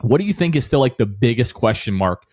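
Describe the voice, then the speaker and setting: A man's voice: mid-range voice, very animated, a little fast, darkish tone, dry recording. A man hosting a sports podcast in casual, informal chat, often dropping "like" into his sentences. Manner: informal, peppers talk with "like". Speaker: podcast host.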